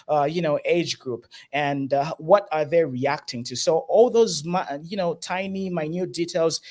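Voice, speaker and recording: A young man from Zambia talks in an online interview. His voice is squeaky and sits a bit high. He explains his points earnestly, full of fillers and restarts. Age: young adult. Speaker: guest, entrepreneur. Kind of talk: online interview, advice talk.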